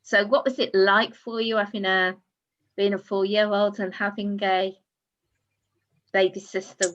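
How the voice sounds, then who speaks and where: A woman's voice: medium pitch, level tone, unhurried, a bit bright. A woman hosting a casual interview, asking short personal questions, with slightly lo-fi sound. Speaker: interview host.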